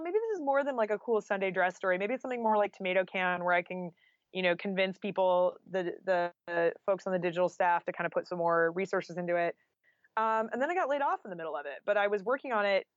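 A woman with a medium-pitched voice talks casually as an interview guest, in a storytelling way full of conversational asides. The recording is dry and slightly dull, with little room sound. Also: dry recording. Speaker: interview guest, author and journalist.

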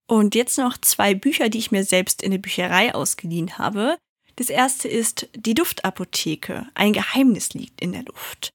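A woman's voice: mid-range voice, dry recording, studio quality. A young adult woman talking casually about books she loves and reading their blurbs aloud. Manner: chatty and enthusiastic, reads blurbs aloud more slowly. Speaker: book reviewer. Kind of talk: casual book recommendations to viewers.

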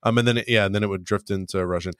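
A man with a lowish voice recaps an episode for a podcast in a loose, rambling way. He chuckles often and leans on fillers. The sound is dry and close. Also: fairly even intonation, racing, a bit bright, clear, resonant tone, no echo. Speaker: podcast host.

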